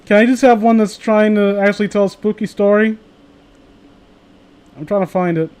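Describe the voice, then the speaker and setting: Higher-voiced man hosting a sardonic, sweary stream. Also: steady tone, normal speed, darkish tone. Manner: profane, sardonic. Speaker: livestream host. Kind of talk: casual chat with friends and viewers.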